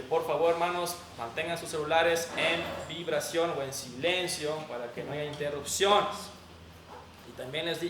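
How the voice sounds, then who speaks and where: A man with a Mexican accent preaching a sermon in an emphatic, didactic way. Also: fairly high pitch. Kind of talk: sermon with Bible reading and prayer. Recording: echoey room, distant mic, faint noise.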